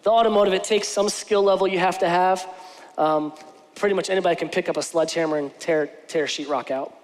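High-voiced pastor in a reverberant hall. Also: male voice, fairly quick. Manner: conversational and earnest, with frequent restarts. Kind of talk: sermon with church announcements. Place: large church stage with lighting.

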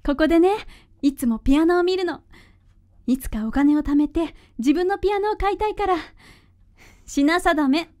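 A woman playing a cheerful, teasing young girlfriend in a radio drama, with a bright, girlish manner. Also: extremely high pitch, normal speed. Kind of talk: Japanese radio drama acting.

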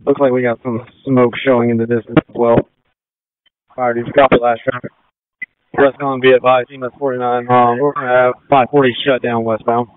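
A man, an emergency responder at a scene, giving halting, businesslike updates over two-way radio. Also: medium pitch, little pitch movement, a little hiss or hum. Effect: radio transmission. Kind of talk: operational radio traffic. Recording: dry, dull two-way radio audio.

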